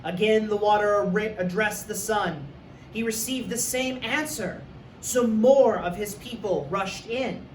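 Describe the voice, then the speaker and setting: A man's voice: sits high, a little slow. Man reading a story, echoey and distant. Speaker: teacher and storyteller. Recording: echoey room, distant mic, faint noise.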